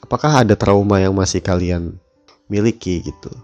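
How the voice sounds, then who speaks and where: Low, dark-voiced young man with the flu. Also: normal speed, dull audio, faint music behind. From Depok, West Java. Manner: casual, searching for words, heartfelt. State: has the flu. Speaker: radio host. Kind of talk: solo late-night radio talk.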